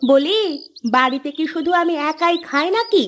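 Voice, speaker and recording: Cartoon mother-in-law, older, aggrieved and melodramatic actress, drawn-out complaints, fairly high voice over clear music. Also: lively intonation, a bit bright. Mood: nagging. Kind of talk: Bengali cartoon voice acting. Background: background music.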